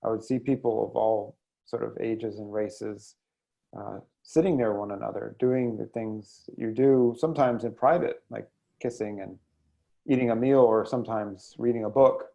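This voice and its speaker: A man answering as an interview guest in a thoughtful, halting, measured way. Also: on the low side, steady tone, vocal fry, dark tone, lo-fi sound. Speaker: guest, editor and poet. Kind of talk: reflective interview conversation.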